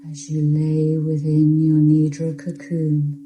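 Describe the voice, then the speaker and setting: Very slow, low-voiced woman guiding meditation. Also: completely flat delivery, slight background noise, rough recording. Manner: soothing, hushed, unhurried with long pauses. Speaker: guided meditation narrator. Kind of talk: yoga nidra guided meditation, scripted narration.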